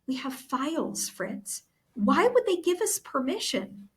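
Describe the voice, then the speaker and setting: A woman's voice: high voice, very animated, a little slow, pitched above the performer's own voice. A woman reading the part of a worried young girl in a storybook, doubtful and questioning.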